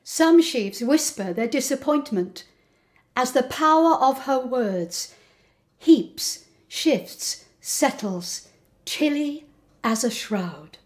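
A middle-aged woman performing a dramatic poetry recital with deliberate, theatrical phrasing. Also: high-pitched, lively intonation. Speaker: poet performing her own poems.

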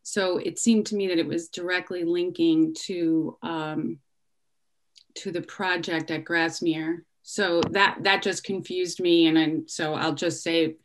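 A middle-aged woman giving public comment at a town meeting over a video call from home, speaking haltingly with many pauses and restarts. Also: lowish voice, fairly even intonation. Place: home room indoors. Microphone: laptop webcam on a video call.

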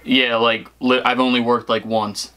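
A man's voice: medium pitch, level tone, unhurried, a crowd in the background. A young American man chatting casually and hesitantly to camera and on the phone, peppering his talk with "like". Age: young adult. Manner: hesitant, rambling, heavy use of "like". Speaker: prank-call vlogger.

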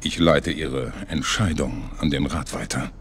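A man voicing a proud alien warrior commander in a video game, formal, forceful and military. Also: rumbling low, measured pace, slight background noise. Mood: indignant. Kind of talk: cinematic video game acting.

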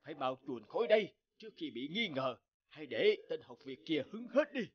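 A man's voice: a little high, sing-song, a little fast, highs cut off. A man playing a scheming, self-serving middle-aged master sculptor in a cartoon fairy tale, authoritative and conniving. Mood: anxious, conniving. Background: background music.

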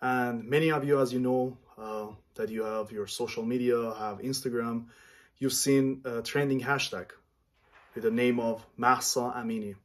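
A man in his thirties from Iran, speaking earnestly and haltingly to camera in a small home room, often stopping to search for words. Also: medium pitch, fairly even intonation. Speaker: vlogger.

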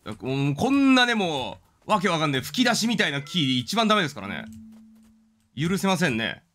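A man's voice: a little high, very animated, normal speed, sharp tone. A man doing joking game commentary with wry, theatrical asides, reading the dialogue aloud as he plays. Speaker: game streamer. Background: game music.

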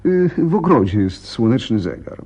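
Casting: a helpless, fearful young gentleman in a radio drama; soft-edged tone, lively pitch swings, halting dramatic delivery. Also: vocal fry, husky, heavily acted. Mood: anxious, narrating. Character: frightened, helpless young gentleman recounting family deaths.

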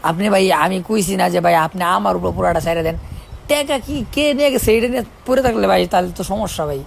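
A man's voice: sits high, quick pace, gravelly, a little hiss or hum. A man with a Bangladeshi accent talking casually in an insistent, persuading way, often repeating his appeals. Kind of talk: casual conversation.

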